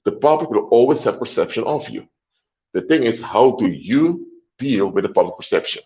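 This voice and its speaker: A man hosting a livestream, lecturing deliberately and emphatically, repeating his points and closing them with short clinchers. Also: lowish voice, animated, warm tone, muffled recording. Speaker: livestream host. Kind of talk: lecturing monologue to a live audience.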